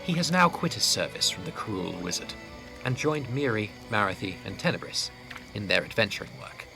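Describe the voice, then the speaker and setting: Gravelly, low-voiced male storyteller. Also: big pitch swings, normal speed, rough recording. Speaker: narrator. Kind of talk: scripted fantasy audio drama narration. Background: clear background music.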